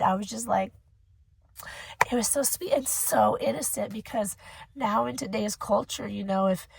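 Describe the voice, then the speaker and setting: A young woman with a hoarse voice from a cold, rambling casually as she reviews a book for her channel. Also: medium pitch, no room sound, rough recording. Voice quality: husky. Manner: chatty, rambling, emotional.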